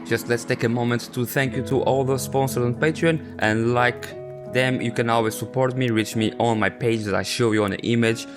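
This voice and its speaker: A man's voice: mid-range voice, steady tone, over loud music. Portuguese-accented man hosting a podcast. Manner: halting, non-native phrasing with many "you know"s. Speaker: podcast host. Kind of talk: casual interview chat.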